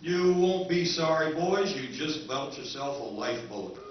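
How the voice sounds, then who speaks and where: A man's voice: a little high, animated, unhurried, over music. An actor playing a confident male junk salesman with a reassuring sales patter, in a live radio drama recreation. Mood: persuasive. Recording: echoey hall, far mic, muffled.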